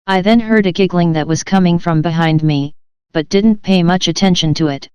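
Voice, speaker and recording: An audiobook narrator reading a first-person memoir in a steady, even manner. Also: mid-range voice, moderate pace, clear, resonant tone, no echo, lo-fi sound.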